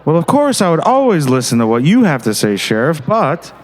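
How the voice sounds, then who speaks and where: A man's voice: fairly high pitch, very animated, a little fast, a little hiss or hum. A man playing a pompous, condescending small-town mayor in an audio drama. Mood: placating. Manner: smooth-talking, condescending. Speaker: voice actor.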